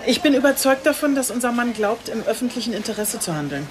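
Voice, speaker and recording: A woman's voice: on the high side, animated, quick pace, crowd noise, lightly acted. A woman playing a police chief inspector in a radio drama, articulate, earnest and matter-of-fact. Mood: determined, earnest. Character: determined, composed female police chief inspector. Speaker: police detective. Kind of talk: scripted radio drama dialogue.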